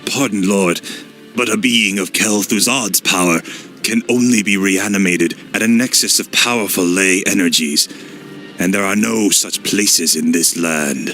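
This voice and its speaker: A man's voice: low voice, measured pace, pushed and bright, faint music behind, low-quality recording. A man playing a deferential, zealous cult servant in a fantasy video game, formal and obsequious.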